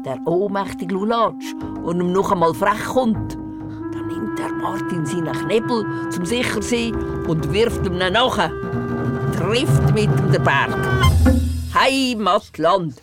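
A woman's voice: medium pitch, lively intonation, slow, over loud music. A woman playing a spirited old Swiss village grandmother who tells tales in Glarus dialect, emphatic and teasing, in an audio play. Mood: vivid, excited. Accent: Swiss German, Glarus. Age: older. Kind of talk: animated dialect storytelling in a radio play.

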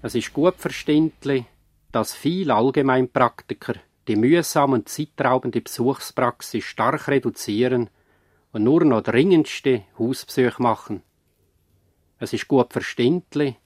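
A man narrating a scripted radio drama, measured and reflective, with a Swiss German accent. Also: mid-range voice, no echo, studio quality, close to an everyday voice. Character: reflective male narrator of a country-doctor story.

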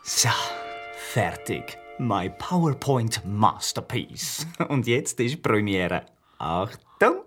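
An actor playing a gleeful, mischievous office colleague who tinkers with tech and shows off, in a radio drama. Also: male voice, on the low side, sing-song, drawn-out words, fairly bright tone, faint music behind, heavily acted. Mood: proud, triumphant.

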